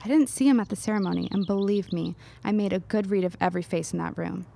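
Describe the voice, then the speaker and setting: Woman as a witty, mid-pitched young lady. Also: fast talker, gravelly, warm tone, natural voice. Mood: curious. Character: playful, witty young woman, wry and observant. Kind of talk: audio drama acting.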